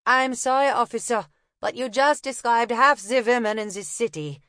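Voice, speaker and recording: A woman's voice: fairly high pitch, normal speed, fairly bright tone, no echo, clean studio sound, pitched above the performer's own voice, close to an everyday voice. A woman voicing a young woman thief disguised as a rag picker, feigning a German accent and playing innocent. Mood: sly innocence. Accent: put-on German.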